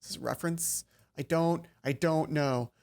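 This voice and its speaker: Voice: mid-range voice, a little slow. A let's player reading visual novel narration aloud, stumbling and rereading lines, with casual asides. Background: soft game music.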